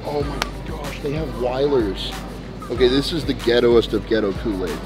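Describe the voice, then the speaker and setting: A young man joking around on a grocery run in a big store, relaxed and casually swearing. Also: mid-range voice, moderate pace, over music. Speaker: visiting bodybuilder. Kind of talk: casual chat while shopping. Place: large indoor grocery store.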